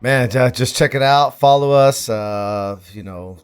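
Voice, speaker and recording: A man's voice: mid-range voice, a little slow, clear, resonant tone, soft background music. A man of about forty from Southern California, chatting casually as a podcast guest and repeating short affirmations. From Santa Ana, California. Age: around 40. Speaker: podcast guest, co-host of another podcast. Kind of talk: casual chat.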